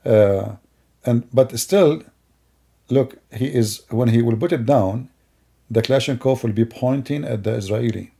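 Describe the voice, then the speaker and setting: Gravelly, mocking middle-aged man. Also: mid-range voice, a little slow, dark tone. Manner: mocking, rambling, with broken grammar. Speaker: commentator. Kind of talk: sarcastic commentary over video clips.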